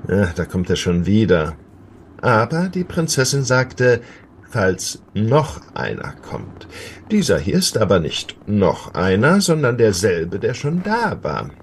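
A man's voice: fairly low pitch, very animated, slow pace, a little hiss or hum, heavily acted. A man playing a grumpy, self-important, cowardly border guard in a German fairy-tale audio play, speaking in a hesitant, officious way.

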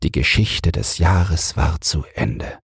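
A male narrator reading bedtime fairy tales. His low voice is very breathy and husky, recorded close and dry. He keeps a calm, measured delivery. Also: no echo. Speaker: audiobook narrator.

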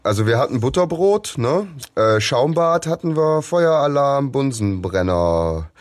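Lively German man explaining a plot. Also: medium pitch, unhurried. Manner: animated, explains plot step by step. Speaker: podcast co-host. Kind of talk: casual podcast discussion.

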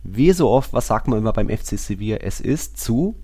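A man's voice: on the low side, expressive, brisk, warm tone, slightly rough. A man with a casual, conversational delivery, talking as a host on a football podcast.

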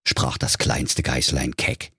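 A man's voice: very deep voice, completely flat delivery, slow, sharp tone, slightly rough, no echo, studio quality. A man telling a scripted comic fairy tale in a mock-solemn storybook manner.

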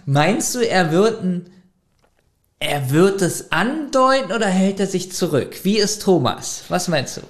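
A man's voice: sits high, big pitch swings, a little slow. A man co-hosting a podcast in casual chat, keeps asking his partner questions and reads plot summaries aloud. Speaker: podcast co-host.

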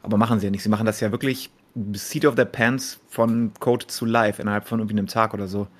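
Fast-talking man with a low voice. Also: fairly even intonation. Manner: colloquial German mixed with English jargon, explanatory. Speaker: podcast panelist. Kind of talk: casual gaming chat.

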